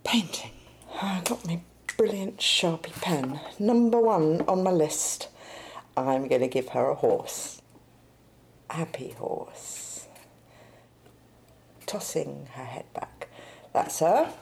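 A woman's voice: low-pitched, takes it slowly, long pauses, a little mellow. A woman in a spontaneous-sounding solo monologue, thinking aloud and murmuring to herself in short fragments. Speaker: performance artist in an audio playlet. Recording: clearly noisy recording with clicks.

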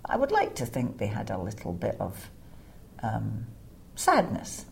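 A Scottish woman with a very low, creaky voice chats casually in an interview. She is frank and no-nonsense, and her pitch moves around in a lively way. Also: unhurried, slight background noise.

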